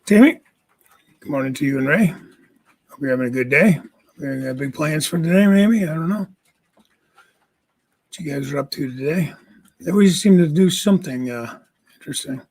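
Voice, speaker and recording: Raspy man greeting viewers. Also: on the high side, normal speed, long pauses. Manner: greets viewers by name, chatty and jokey. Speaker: livestream host. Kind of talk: casual livestream chat. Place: home.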